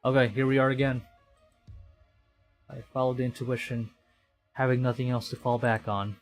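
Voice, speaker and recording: A man livestreaming a visual novel, reading it aloud with excitable, dramatic delivery and chatty casual asides. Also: medium pitch, normal speed. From Philippines. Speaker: streamer. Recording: music behind the voice. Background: game music.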